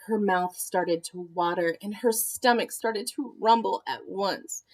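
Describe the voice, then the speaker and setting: A woman narrates a children's storybook at a slow, deliberate pace. Her pitch is mid-range for a woman, and she tells the tale expressively, as a teacher reads to young listeners. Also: a little mellow. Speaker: art teacher reading aloud to children. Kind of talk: storybook read-aloud narration with asides.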